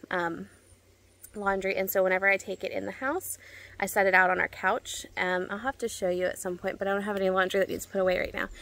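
A woman's voice: mid-range voice, fairly bright tone. A woman in her thirties casually explaining her laundry routine in a backyard vlog, recorded on a handheld phone outdoors. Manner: chatty and practical, with frequent ums and self-corrections. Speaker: homeschooling mom vlogger. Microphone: phone held at arm's length.

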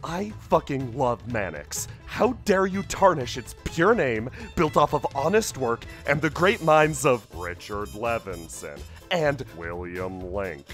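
A man's voice: mid-range voice, sing-song, slow pace, few pauses, soft background music. A man voicing a pompous, easily outraged fan with theatrical, grandiose menace in a comedic reading. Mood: indignant. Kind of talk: comedic dramatic reading of online comments.

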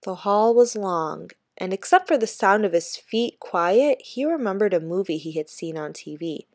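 Lady teacher reading a kids book out loud, mid pitch, really dry close sound. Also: animated, moderate pace, dry recording. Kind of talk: expressive children's book read-aloud.

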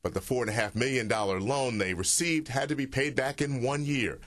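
A man narrating a TV news report in a steady, measured way. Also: mid-range voice.

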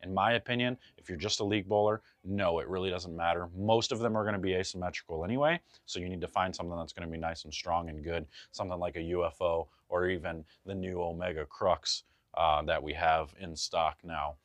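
A man's voice: low-pitched, steady tone, brisk, no echo. A man, talking casually to camera from a bowling pro shop and giving loose, chatty advice with jokey asides. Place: bowling pro shop in front of a ball wall.

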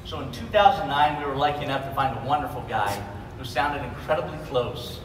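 A man's voice: medium pitch, fairly quick, fairly bright tone. A middle-aged American man giving an informal stage presentation in a large convention hall, heard from the audience. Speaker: presenter, company executive. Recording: echoey hall from far off, noisy crowd.

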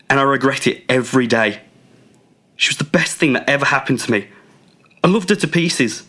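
A man's voice: medium pitch, sharp tone. A young man acting in a radio drama, playing a controlling, jealous boyfriend with an insistent way of talking. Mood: regretful. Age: young adult. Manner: accusing, insistent. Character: controlling, jealous young boyfriend, self-justifying and quick-tempered. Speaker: student actor.

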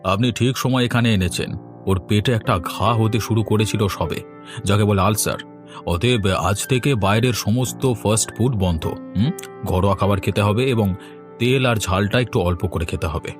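A man playing a calm, firm doctor in a cartoon, giving instructive advice. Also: lowish voice, normal speed, close to an everyday voice. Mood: firm, advising. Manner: instructive, admonishing. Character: calm, firm male doctor advising on diet. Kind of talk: cartoon dialogue. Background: instrumental music.

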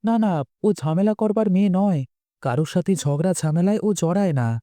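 Man voicing a high-pitched earnest village boy. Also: fairly quick, warm tone, dry recording, studio quality, close to an everyday voice. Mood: worried, earnest. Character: earnest young village boy, loyal and worried. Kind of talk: dubbed cartoon dialogue.

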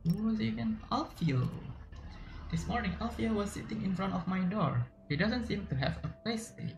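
Brief: Indonesian-accented game streamer, gravelly, halting casual commentary, game music faintly behind. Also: medium pitch, reverberant, low-quality recording. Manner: frequent throat clearing, halting restarts. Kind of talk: casual let's-play commentary.

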